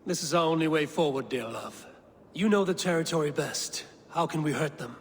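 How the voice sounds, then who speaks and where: A man playing a steady, pragmatic Viking clan leader in a video game, measured and resolute. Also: on the high side, natural voice. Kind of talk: dramatic video game acting. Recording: reverberant hall, faint noise.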